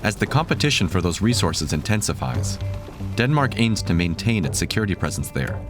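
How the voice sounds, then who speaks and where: A man reading scripted documentary narration in a measured, even tone. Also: deep voice, over music.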